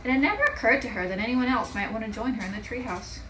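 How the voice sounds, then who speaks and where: A woman's voice: fairly high pitch, fast talker, sharp tone, distant mic, soft background music. A woman teacher reading a children's story aloud expressively, recorded in an echoey, noisy room. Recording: echoey room with clear background noise.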